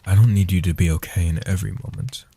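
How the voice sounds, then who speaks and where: A man voicing a gentle old guardian vampire in a soft-spoken comfort roleplay, slow and soothing, with a husky, half-whispered delivery. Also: low voice, fairly even intonation, heavily acted. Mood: tender, reassuring.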